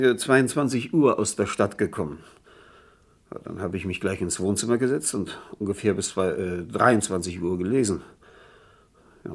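An actor playing an evasive middle-aged householder under suspicion in a 1960s radio crime drama, husky, hesitant and formally careful. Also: male voice, on the low side. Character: evasive middle-aged householder, a suspect pleading self-defence.

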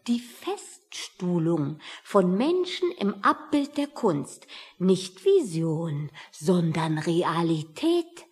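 An actress playing a nervous, well-read, deferential woman in a satirical radio play, speaking earnestly and excitably. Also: lowish voice, drawn-out words, exaggerated voice. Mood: earnest, lecturing.

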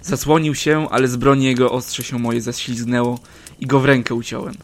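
A man's voice: mid-range voice, little pitch movement, lightly acted. A man playing a weathered old war veteran. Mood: reminiscing. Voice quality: measured, archaic storyteller's delivery. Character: aging male war veteran telling tales to travelers. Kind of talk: first-person storytelling in old-fashioned speech.

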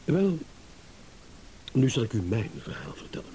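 An actor playing a smug, shrewd, eloquent gentleman in a radio drama, with mock courtesy. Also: medium pitch, sing-song, a little slow, whispered, rough recording. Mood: smooth, teasing. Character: smug, shrewd gentleman savouring a battle of wits. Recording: hissy old radio play recording.

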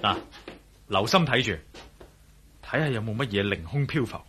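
An actor playing a cool-headed, daring adventurer in a radio drama, confident and wry, with a Hong Kong Cantonese accent. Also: male voice, lowish voice, lively intonation, moderate pace, fairly bright tone, a little hiss or hum. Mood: calm, commanding.

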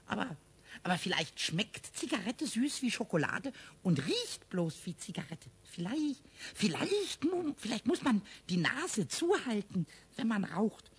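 Raspy, squeaky little kobold. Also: male voice, a little slow, exaggerated voice. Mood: curious, scheming. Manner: stammering, repeating words excitedly. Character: cheeky, whiny little male kobold, childlike. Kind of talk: 1970s children's radio play acting.

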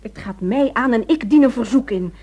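A woman's voice: a little high, animated, normal speed, warm tone. An actress playing an anxious, insistent, loving wife in a radio play, pressing her case with slight hesitations. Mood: insistent, frustrated.

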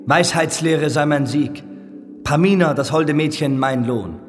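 A man's voice: fairly high pitch, unhurried, close to an everyday voice. An actor playing an earnest, brave young prince in his twenties in a radio drama. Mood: resolute. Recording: music playing behind.

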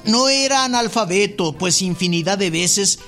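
High-voiced earnest townsman witness. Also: expressive, moderate pace, bright, forceful tone. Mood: earnest, insistent. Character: earnest townsman giving testimony about an acquaintance. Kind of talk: radio drama character dialogue. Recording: music behind the voice.

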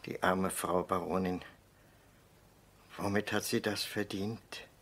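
An actor playing a distraught servant of a noble household in a radio play. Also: male voice, deep voice, fairly even intonation, slow, a bit bright, breathy edge, exaggerated voice. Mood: sorrowful. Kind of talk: German radio play acting.

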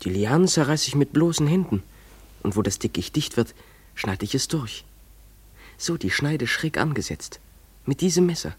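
An actor playing a dreamy, defiant runaway schoolboy in a German radio play, speaking in an imploring, declamatory way. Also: male voice, mid-range voice, sing-song, normal speed, breathy edge, no echo. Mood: wistful, dreamy.

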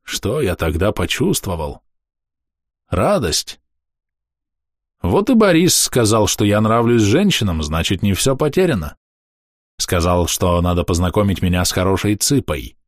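A man reading a first-person diary aloud in a calm, matter-of-fact, measured way. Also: fairly low pitch, dry recording, studio quality. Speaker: audiobook narrator.

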